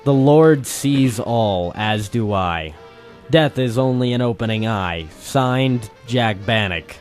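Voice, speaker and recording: An actor playing a hard-boiled, wry private eye in a noir radio play, with clipped storytelling. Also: male voice, medium pitch, animated, takes it slowly, a little mellow. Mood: wry narrating. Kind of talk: noir radio-play detective narration and dialogue. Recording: music under the voice.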